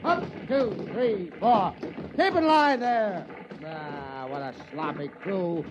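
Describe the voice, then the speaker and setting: An actor playing a fussy, contemptuous military inspector from Earth, barking with exasperation in an old-time radio drama. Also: male voice, high voice, animated, slow. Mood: scornful. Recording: dull, lo-fi old radio recording.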